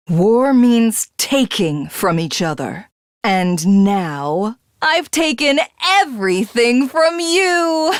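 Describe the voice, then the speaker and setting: A woman's voice: medium pitch, no echo, studio quality. A woman playing a haughty, megalomaniac female villain in a video game, grandly savouring each phrase as she gloats. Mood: manic glee.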